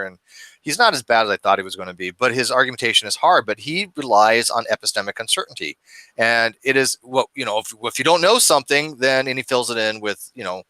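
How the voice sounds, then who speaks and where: A man in his forties hosts a casual online chat over a webcam from a dim room at home. His voice is bright and sits mid-range, recorded dry and close. He stammers and restarts often as he talks. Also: mid-range voice, dry recording. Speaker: host. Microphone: webcam video call.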